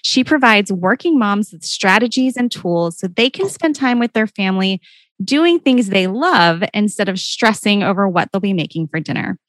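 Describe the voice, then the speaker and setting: A woman's voice: mid-range voice, normal speed, no room sound, rough recording. A woman hosting a parenting podcast, bubbly and enthusiastic, with a soothing voice. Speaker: podcast host.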